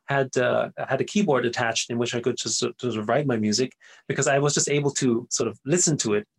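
A man's voice: medium pitch, steady tone, fast talker, vocal fry, breathy edge. A middle-aged man from Austria, a native German speaker, talking as an interview guest in a rambling way, often tagging his sentences with "right?". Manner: rambling, tags sentences with "right?", frequent fillers and restarts. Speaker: guest entrepreneur. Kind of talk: casual interview talk.

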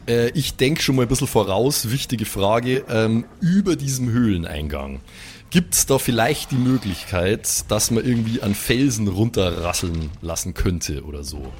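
Gravelly man, bossy tabletop player. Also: on the low side, expressive, moderate pace, darkish tone, a little hiss or hum. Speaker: player in a tabletop role-playing podcast. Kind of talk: improvised in-character tabletop play and banter.

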